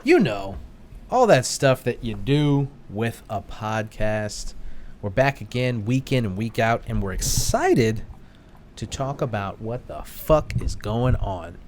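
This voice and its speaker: A man's voice: mid-range voice, sing-song, slow pace, darkish tone, a little hiss or hum. Rambling man hosting a beer podcast. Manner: rambling, with restarts and laughs. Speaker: podcast co-host. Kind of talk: casual chat over beers.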